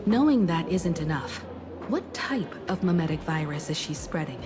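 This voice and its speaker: A woman's voice: low-pitched, animated, normal speed, music in the background. An actress voicing a serious, businesslike young woman investigator in a video game, curt and no-nonsense. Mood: serious, focused. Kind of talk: video game cutscene acting.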